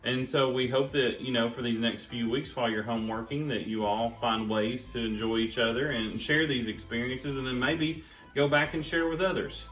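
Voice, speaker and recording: A man reading a children's story aloud as a storyteller, with deliberate phrasing. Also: medium pitch, fairly even intonation, fairly bright tone, soft background music, rough recording. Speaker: storyteller, early childhood educator.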